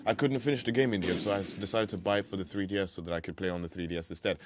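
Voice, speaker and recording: Low-voiced young man, casual gaming commentary. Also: fairly even intonation, rapid-fire, background noise. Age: young adult. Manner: rambling, playful mild swearing and slang. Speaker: let's play host. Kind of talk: casual video game commentary.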